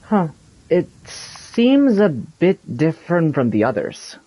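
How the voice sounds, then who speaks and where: An actor voicing a young, calm, skeptical traveler in a video game, measured and doubting. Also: mid-range voice, a little hiss or hum.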